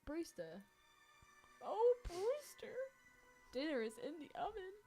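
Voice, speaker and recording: A woman co-hosting a podcast, chatting casually about films in an animated, crude-humoured, informal way. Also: very high-pitched, slow pace, over music. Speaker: podcast co-host. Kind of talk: casual film chat.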